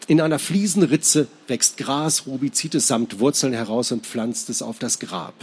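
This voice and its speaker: A man reads literary fiction aloud in a husky voice. His pitch sits in the middle of the male range, and the sound is rough-edged rather than smooth. It has the feel of an author presenting a book. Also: moderate pace, darkish tone. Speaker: author reading from a novel at a public reading. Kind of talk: literary prose reading.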